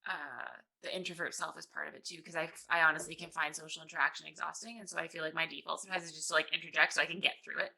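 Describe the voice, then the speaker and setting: A woman guest chatting casually, with a calm, soothing voice and a rambling, self-deprecating, giggly manner. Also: sits low, very fast, creaky, hardly any pauses. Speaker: podcast guest. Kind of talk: casual chat.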